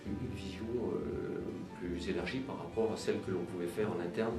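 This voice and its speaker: A man giving measured interview answers as a local official, halting and searching for words. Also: sits low. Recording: roomy, distant mic, music behind. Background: music.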